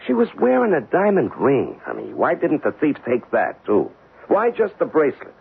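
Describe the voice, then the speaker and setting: An actor playing a weary, skeptical American police detective husband in a radio drama, talking plainly and emphatically. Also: male voice, a little high, huge pitch swings, normal speed, gravelly, husky, exaggerated voice. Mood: frustrated, insistent. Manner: colloquial, emphatic, matter-of-fact. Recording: dull lo-fi 1970s radio recording.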